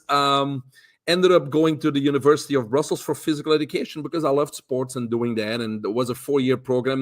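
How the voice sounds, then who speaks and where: A man in his fifties from Belgium with a Belgian accent, a guest relaxed telling his life story in casual talk with many fillers. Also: medium pitch. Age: 50s. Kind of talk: casual interview chat.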